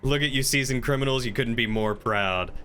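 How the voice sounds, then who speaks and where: A man streaming a roleplay game, chatting casually and talking in character in a wry, measured way. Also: medium pitch, bright, forceful tone, a little hiss or hum. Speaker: game streamer playing a crime boss.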